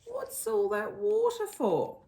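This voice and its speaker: A woman's voice: extremely high pitch, huge pitch swings, slow, gravelly, dark tone, reverberant, voice pushed up, exaggerated voice. A woman voicing a friendly male platypus in a storybook, giving advice in an emphatic way. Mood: eager, advising.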